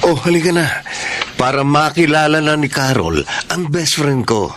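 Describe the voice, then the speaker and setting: A man's voice: fairly high pitch, animated, moderate pace, a bit bright. An actor playing an emotional, trusting single father in a melodramatic radio drama. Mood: earnest.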